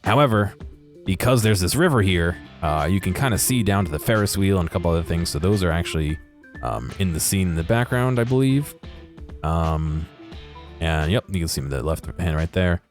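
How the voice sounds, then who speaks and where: Low voiced dude casually talking through game footage, halting and correcting himself, faint music under it. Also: male voice, animated. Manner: halting, explanatory, self-correcting. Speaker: video creator narrating game footage. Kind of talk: casual unscripted commentary over game footage.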